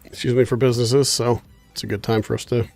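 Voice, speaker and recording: A man's voice: mid-range voice, level tone, quick pace, vocal fry, a little mellow, no room sound, soft background music. A man chatting casually on a gaming livestream, with a congested, nasal sound and a laid-back, rambling way of talking. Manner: chatty, laid-back, thinks aloud with fillers. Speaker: streamer.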